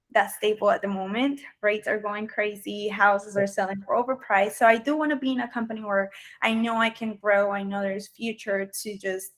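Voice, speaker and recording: A woman's voice: medium pitch, a bit bright, poor audio. A young woman from Colombia whose first language is Spanish, answering questions in a video job interview, hesitant and full of ums. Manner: hesitant, many ums and restarts. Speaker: job candidate. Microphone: video call.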